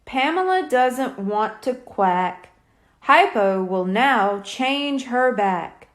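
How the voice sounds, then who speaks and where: Woman putting on a grand, slow stage hypnotist man. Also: fairly high pitch, animated, a bit bright, heavily acted. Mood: commanding, solemn.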